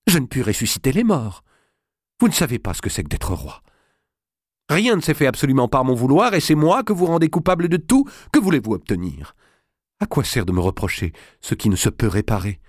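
A man reading an audiobook, voicing an anguished, hot-tempered young medieval king with a high voice that turns shrill in anger. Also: moderate pace, a little mellow, no room sound, clean studio sound, lightly acted. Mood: angry, bitter. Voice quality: high, shrill when angry. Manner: pleading, then bursting into rage.

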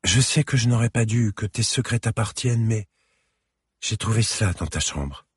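A man voicing an anxious adult mother who speaks softly and apologetically to her daughter in an audiobook. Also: lowish voice, animated, normal speed, breathy edge, no room sound, studio quality, pitched above the performer's own voice. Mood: sorrowful. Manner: soft, apologetic pleading.

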